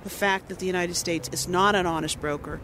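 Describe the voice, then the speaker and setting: A man with a high voice speaks with earnest emphasis. He is recorded outdoors on a city street, with clear traffic noise behind him. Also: steady tone, brisk. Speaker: protester interviewed on the street. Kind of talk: impassioned political opinion.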